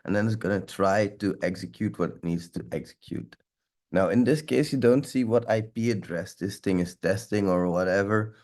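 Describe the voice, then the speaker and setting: Low-voiced man with a stuffy nose. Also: moderate pace, a little mellow. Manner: loose, rambling, occasional swearing. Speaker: security instructor. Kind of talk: casual live tech walkthrough.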